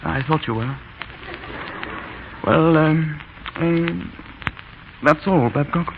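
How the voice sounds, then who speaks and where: Shy English schoolmaster, formal, hesitant, dryly kind, 1930s radio drama, muffled hissy recording. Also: male voice, on the high side, drawn-out words, gravelly, full character voice. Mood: stern, relenting.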